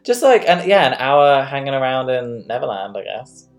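A woman's voice: extremely low pitch, sing-song, moderate pace, over music. Woman hosting a livestream, rambly and chatty, lots of likes and ums. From London. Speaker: host. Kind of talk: casual live-stream chat.

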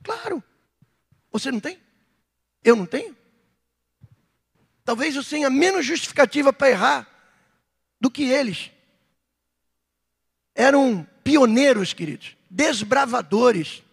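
A middle-aged Brazilian man preaching a sermon as pastor, with fervent outbursts and softer reflective stretches. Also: very high voice, expressive, measured pace, creaky, pauses often, husky. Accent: Brazilian Portuguese. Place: church hall. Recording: long hall reverb.

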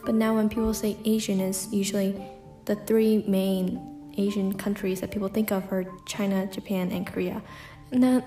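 A young woman from the United States talks through a personal solo podcast episode in a reflective way, leaning on "like" and "um" as she goes. Her voice is mellow and warm, set at a middle pitch. The room is echoey and faint music sits underneath. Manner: reflective, frequent "like" and "um" fillers. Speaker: podcast host.

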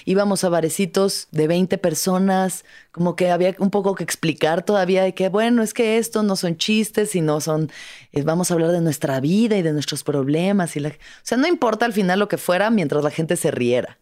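A woman comedian from Mexico chats casually with a slightly low voice. She tells stories with energy and acts out her own past words along the way. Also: a little fast, darkish tone. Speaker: stand-up comedian and actress. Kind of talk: casual podcast conversation.

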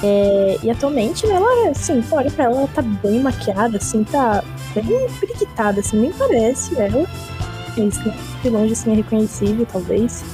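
A woman's voice: fairly high pitch, very animated, moderate pace, music in the background. A woman playing in a tabletop game, describing her character in loose, casual talk full of fillers. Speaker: player.

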